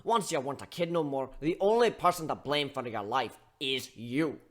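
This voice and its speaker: A man's voice: medium pitch, moderate pace, close to an everyday voice. Man putting on a blunt, scolding barkeep. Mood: blunt, lecturing. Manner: blunt, colloquial, lecturing with folksy slang. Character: gruff scolding barkeep, blunt tough-love older male mentor. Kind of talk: dramatic story reading.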